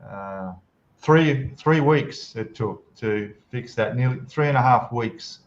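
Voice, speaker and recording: A man hosting a casual live stream hangout with friends over a voice call, chatty and quick to laugh. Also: medium pitch, lo-fi sound, a little hiss or hum. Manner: laughs easily, chatty and joking. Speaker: channel host.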